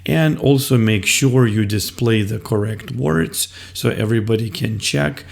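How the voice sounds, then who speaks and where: A man teaching, explaining classroom reading activities in a slow, deliberate way. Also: medium pitch, gravelly, reverberant. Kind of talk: instructional video talk.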